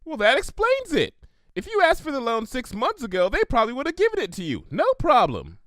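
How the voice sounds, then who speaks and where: A man voicing a bubbly, silly young female cartoon pony, chirpy and excitable, in a story reading. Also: very high voice, fairly quick, dry recording, studio quality, voice pushed up, full character voice. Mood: matter-of-fact, chipper.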